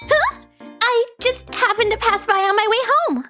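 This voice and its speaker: Very high, prim young female teacher played by woman. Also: lively intonation, normal speed, bright, forceful tone, full character voice. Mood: flustered, surprised. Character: strict, naive, earnest young female teacher from abroad. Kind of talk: manga story dub dialogue. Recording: background music under the voice.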